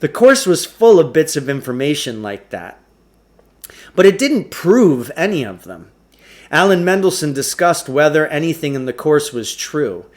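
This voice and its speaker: A man's voice: on the high side, sing-song, normal speed. A man reading a children's novel aloud as a storybook narrator.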